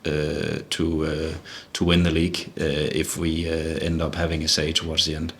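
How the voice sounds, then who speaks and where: Deep-voiced Danish man, halting speech. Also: completely flat delivery, creaky, fairly bright tone, a little hiss or hum. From Denmark. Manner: halting, repeats words while searching for phrasing. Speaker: football manager. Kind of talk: press conference answers.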